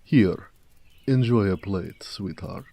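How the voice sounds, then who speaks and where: A man playing a big, muscular orc blacksmith in an intimate audio drama, proud, gentle and affectionate, with courteous, slightly formal speech. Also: sits low, creaky, no room sound, full character voice. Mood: content, proud. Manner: unhurried, soft-spoken, courteous, slightly formal. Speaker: voice actor.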